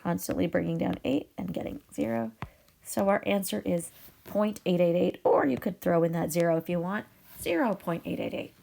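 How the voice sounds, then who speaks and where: A woman teacher explaining a math problem step by step, her voice raspy from a cold. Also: on the low side, normal speed, mellow, a little hiss or hum. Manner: upbeat, patient explaining with fillers. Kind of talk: video math lesson.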